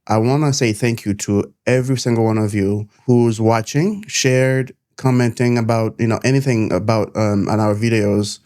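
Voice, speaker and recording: A middle-aged man with a Haitian accent, telling his own life story to camera in an earnest, measured way, pausing between phrases. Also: lowish voice, darkish tone. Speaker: nurse turned content creator.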